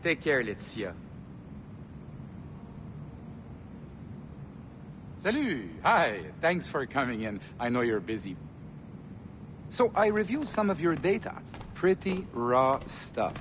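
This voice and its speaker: Breezy, animated French Canadian executive. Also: male voice, mid-range voice, measured pace, a little hiss or hum. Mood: breezy, upbeat. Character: breezy, self-important Québécois entertainment company executive. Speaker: game character, company executive. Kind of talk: video game cutscene acting.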